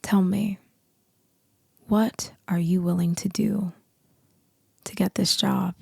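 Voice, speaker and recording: A woman playing a dominant, seductive boss in an intimate ASMR roleplay, with a deep, husky, breathy voice that often drops into whispers. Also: steady tone, mellow, dry recording, exaggerated voice. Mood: seductive, coaxing. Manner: slow, deliberate, often dropping into whispers.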